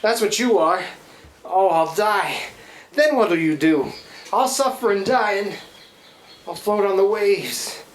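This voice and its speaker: A woman's voice: mid-range voice, animated, slow, long pauses. A woman playing a scruffy, hard-drinking riverboat man in a radio play, with folksy, rambling, theatrical working-class speech. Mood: drunk, aggrieved. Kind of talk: live radio play acting. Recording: roomy live stage, watery foley noise.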